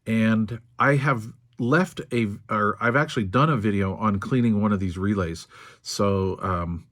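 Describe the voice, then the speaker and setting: An older American man casually narrating a hands-on repair in a folksy, unhurried way, thinking aloud as he goes. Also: fairly low pitch, fairly bright tone. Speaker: radio repair video host.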